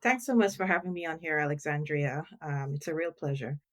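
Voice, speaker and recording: A middle-aged woman from Guyana, a literature professor, explaining thoughtfully in a podcast interview with frequent pauses and ums. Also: low voice, lively intonation. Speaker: guest professor.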